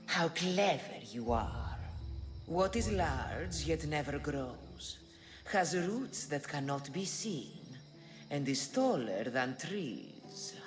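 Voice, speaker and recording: An actress playing a mysterious woman guardian who tests a hero with riddles, in a slow, measured manner. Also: deep voice, very animated, full character voice. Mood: enigmatic. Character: low-voiced woman guardian posing riddles. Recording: game cutscene with loud music.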